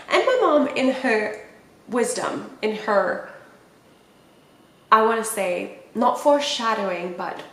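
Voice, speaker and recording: A woman's voice: mid-range voice, fairly bright tone, reverberant, poor audio. Chatty Puerto Rican woman, native Spanish speaker. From Puerto Rico. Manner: chatty, rambling, self-correcting. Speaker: podcast host. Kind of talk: casual chat to camera. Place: small indoor room with plain walls.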